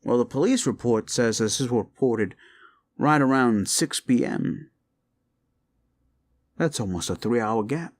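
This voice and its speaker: A man's voice: mid-range voice, lively intonation. A man plays a rumpled police detective in an interrogation roleplay. His mid-range voice rises and falls freely as he rambles and muses. He is polite and folksy on the surface, shrewdly needling underneath with leading questions. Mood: politely needling. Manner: rambling, musing, halting, feigned casualness with leading questions. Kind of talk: roleplay audio drama, interrogation monologue addressed to listener.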